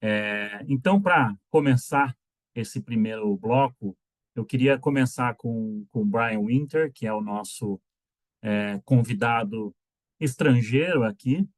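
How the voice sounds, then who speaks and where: A man moderating an online panel, introducing the guests in a halting way with many filler pauses, on a video call. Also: medium pitch, dry recording.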